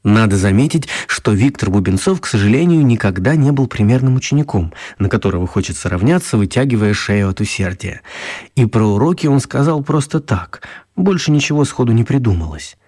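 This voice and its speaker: A man narrating a children's radio story expressively, with theatrical emphasis and drawn-out words. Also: mid-range voice, lively intonation, a little mellow.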